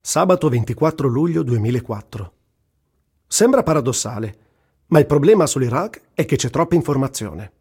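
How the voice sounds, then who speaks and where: A man's voice: mid-range voice, animated, moderate pace, darkish tone, husky. An actor reciting as a wry, earthy, self-mocking male war journalist, in a dramatic literary radio-theatre reading. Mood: ironic, animated. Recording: voice over prominent music. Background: music.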